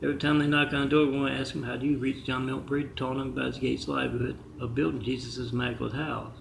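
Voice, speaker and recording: A man's voice: mid-range voice, little pitch movement, very fast, creaky, reverberant, noisy background. A man vlogging in a rambling monologue to the camera, restarting often, recorded on a camera mic inside an apartment. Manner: rambling, restarts often, reads out numbers and addresses. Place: indoor apartment with a kitchen.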